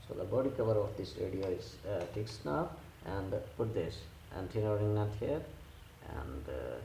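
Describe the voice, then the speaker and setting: Non-native english guy doing a repair how-to, halting step by step, pitch moves around a lot, roomy sound with background noise. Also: expressive. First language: not English. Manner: halting, simple step-by-step phrasing. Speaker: repair tutorial host. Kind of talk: hands-on instructional demonstration. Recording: echoey room with clear background noise.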